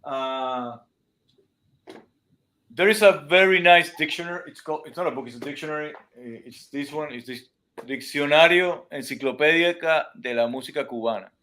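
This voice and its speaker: A man's voice: fairly high pitch, normal speed, sharp tone. A man with a non-native accent on a casual video call, explaining music and dance to a host with many restarts and comparisons. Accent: non-native English speaker. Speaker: guest. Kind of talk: casual live-stream chat. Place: room at home with cameras.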